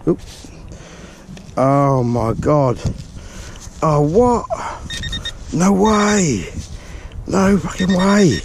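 A man with an excitable, chatty manner, giving running commentary to viewers while metal detecting on a beach, recorded on a body-worn camera outdoors. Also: sits high. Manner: excitable, swears when thrilled, chatty asides to viewers. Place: outdoors on a pebble and sand beach at dusk. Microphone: body-worn action camera. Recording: outdoor beach recording with clear background noise.

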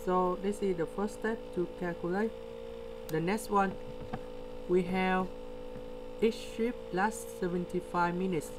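Slow-paced man tutoring over hum. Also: high voice. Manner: slow, step-by-step explaining with pauses. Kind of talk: screen-recorded math lesson. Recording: steady electrical hum behind.

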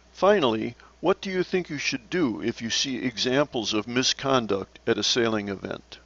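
A man narrating scripted slides as an instructor, in a measured, explanatory manner. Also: medium pitch, creaky, a bit bright, dry recording, lo-fi sound. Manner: measured, instructional, poses questions to the learner. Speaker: sailing instructor.

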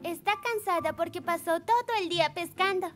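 Very high, bright little cartoon girl. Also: normal speed, faint music behind. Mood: playful storytelling. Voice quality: childlike. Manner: animated, encouraging. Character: cheerful, caring little girl who loves pretend play. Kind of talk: Spanish-dubbed children's cartoon acting.

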